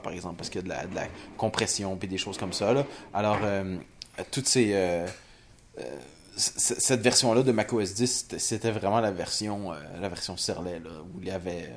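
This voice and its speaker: A man chats as co-host of a casual tech podcast. He often stumbles and restarts mid-sentence. His voice sits slightly low. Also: a little hiss or hum. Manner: hesitant, tags phrases with "là".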